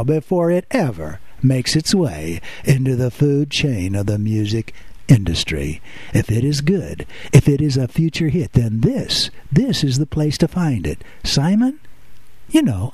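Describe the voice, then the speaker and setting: Husky-voiced lively radio host man. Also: on the high side, no room sound. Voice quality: soft radio voice. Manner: animated, teasing, talks straight to an absent listener. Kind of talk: playful radio patter.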